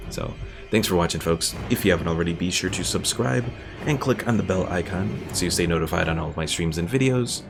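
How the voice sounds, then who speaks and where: A man's voice: deep voice, vocal fry. A man casually commenting on his strategy game stream, thinking aloud and correcting himself as he goes. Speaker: gaming streamer.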